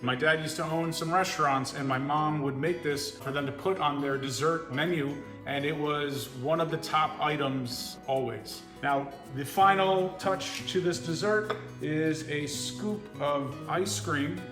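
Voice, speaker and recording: American man, home cook, slightly high voice, earnest video message, roomy echo, guitar backing. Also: measured pace, few pauses. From Pennsylvania, United States. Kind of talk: earnest presentation to camera.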